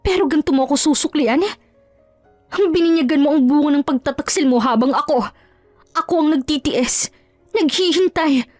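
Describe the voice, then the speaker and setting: Woman playing wronged wife, raised pitch, anguished, accusatory, emphatic, audio drama, close dry recording. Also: darkish tone, dry recording, natural voice. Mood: anguished, reproachful. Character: betrayed wife, furious, anguished, accusing, confronting cheating husband.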